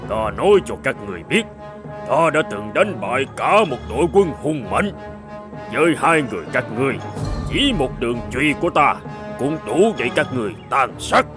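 A man's voice: medium pitch, very animated, moderate pace, music in the background, natural voice. A man voicing a boastful, brutish monster in a fairy-tale cartoon, with a sneering, taunting manner. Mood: scornful. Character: boastful, brutish male monster with a giant club.

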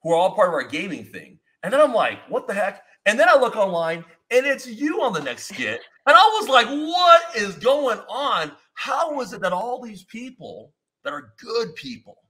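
A man's voice: high-pitched, moderate pace, few pauses. A man in his thirties hosting a casual podcast from his home studio, talking energetically and rambling through stories over a video call. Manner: energetic, rambling, expressive hand gestures. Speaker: podcast host. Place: home studio with foam-panel wall, indoors.

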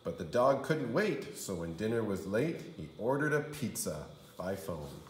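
Male kindergarten teacher for children's rhyme read-aloud: theatrical and sing-song, swooping pitch, recorded in a roomy space. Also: sing-song, unhurried, a little hiss or hum. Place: indoor room. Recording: roomy indoor echo.

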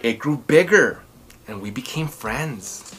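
Animated man reading aloud to children. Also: mid-range voice, takes it slowly, faint music behind. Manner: theatrical, emphatic delivery. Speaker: children's storytime host. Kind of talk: expressive read-aloud for children. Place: small furnished room at home, indoors.

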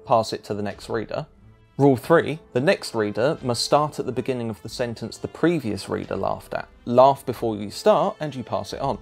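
Male essay narrator, creaky edge, wry and emphatic scripted read over faint music. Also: mid-range voice, darkish tone.

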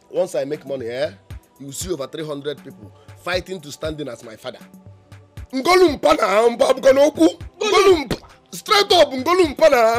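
A young man with a Nigerian accent playing a defiant son who argues with his mother, exasperated and mixing in Pidgin and Igbo phrases. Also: high voice. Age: young adult.

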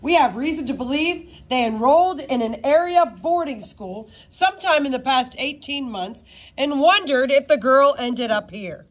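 A woman's voice: sits high, expressive, moderate pace, pitched above the performer's own voice. A woman voicing a male spy who poses as a composed, polite, businesslike police detective in a storybook.

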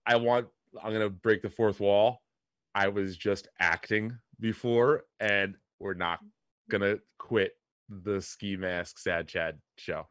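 Joking man with a low, dry voice. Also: steady tone, slow pace, fairly bright tone, no echo, dull audio. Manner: jokey, mock-dramatic. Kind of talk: casual comedy podcast banter.

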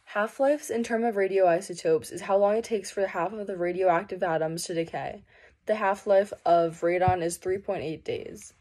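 A woman's voice: medium pitch, a little mellow. Young woman explaining science over noise. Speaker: student explainer. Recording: noisy phone recording.

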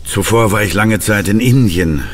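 An actor playing a courteous, formal aristocrat of about forty, master of a castle, in a radio play. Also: male voice, fairly low pitch, moderate pace, natural voice. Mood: gracious. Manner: urbane, polite, formal.

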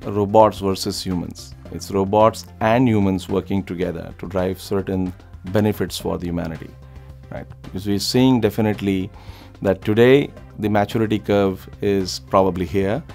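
A man's voice: deep voice, steady tone, normal speed, creaky, dark tone. A man in his forties answering interview questions as an expert commentator, recorded indoors. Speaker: business executive, expert commentator. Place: indoor room, plain wall. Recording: roomy echo, music underneath.

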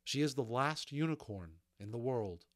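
A man as an earnest, indignant magician, slightly raised pitch. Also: lively intonation, normal speed, lightly acted. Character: insecure, earnest male magician, jealous and indignant.

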